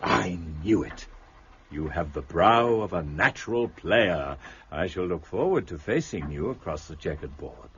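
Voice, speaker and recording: Fussy, imperious gentleman, lively low voice. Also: a little slow, muffled recording, slight background noise, heavily acted. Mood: probing, amused. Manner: brusque, precise, teasing, formal diction. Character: fussy, imperious, eccentric gentleman of reduced means. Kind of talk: period radio drama acting.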